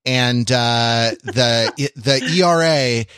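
A man's voice: medium pitch, very slow, a bit bright, clean tone, no echo, clean studio sound. A man co-hosting a casual podcast, explaining things in a rambling, analytical way. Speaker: podcast co-host.

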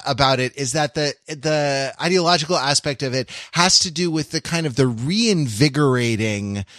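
A man's voice: mid-range voice, a bit bright, dry recording. Man musing academically, dry close sound. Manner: halting, academic phrasing with frequent check-in tags. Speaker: podcast co-host. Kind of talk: casual analytical pop-culture discussion.

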